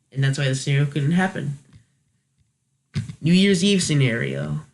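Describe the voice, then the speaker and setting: A man hosting a review video with casual, unscripted commentary, hesitant and thinking aloud as he corrects himself. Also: on the high side. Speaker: host of a video review series.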